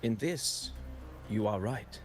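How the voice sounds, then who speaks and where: An actor playing a reflective male bard in a video game, speaking in a measured, solemn, literary manner. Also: fairly low pitch, a crowd in the background. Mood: calm, reflective. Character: poetic, reflective male bard narrating epics. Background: prominent game music.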